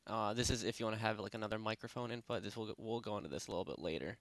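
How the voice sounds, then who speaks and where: A man narrating a software tutorial in a casual, unscripted, rambling way, speaking informally with lots of filler phrases. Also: lowish voice, very fast, no room sound. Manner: rambling, informal, repeats "basically" and "you know". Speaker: tutorial presenter. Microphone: close headset microphone.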